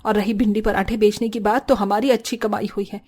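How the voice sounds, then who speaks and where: A woman playing a bossy, sharp-tongued village mother-in-law in a cartoon story, assertive and scolding. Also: medium pitch, fairly even intonation, brisk, lightly acted. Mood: scolding, firm. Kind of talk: Hindi cartoon story dialogue.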